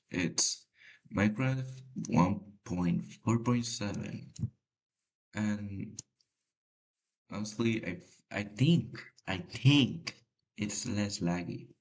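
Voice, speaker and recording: A man whose first language is Spanish, giving casual, halting gameplay commentary with a deep voice and many fillers. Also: animated, poor audio. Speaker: gamer.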